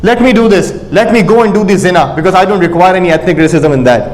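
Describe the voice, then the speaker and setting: A man's voice: high voice, fast, a little mellow, slight background noise, natural voice. A man voicing his grown son, who politely and eagerly pleads with his father. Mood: earnest. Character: young adult son earnestly asking his father.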